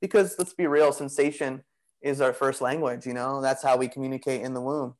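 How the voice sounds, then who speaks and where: A man speaking as a guest on a podcast, in a voice of middling pitch. He talks in a loose, meandering way, leaning on "you know" and "like" as he works through his thoughts. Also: fairly quick. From Greek heritage. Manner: relaxed, rambling, many "you know" and "like" fillers. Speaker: podcast guest, bodywork practitioner. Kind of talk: casual conversational interview.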